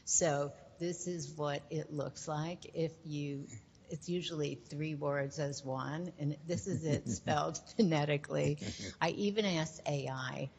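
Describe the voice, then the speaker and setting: An older woman with a New York accent, hosting a casual interview chat and talking in an unhurried way with frequent ums. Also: sits low, steady tone, vocal fry, a little mellow, slightly rough. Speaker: host.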